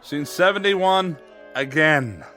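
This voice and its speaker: A harried director barks quick orders to his crew in a higher voice. Music runs under the radio scene. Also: animated, pushed and bright, exaggerated voice. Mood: brisk. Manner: brisk, commanding. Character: harried film director, male, impatient. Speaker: film director.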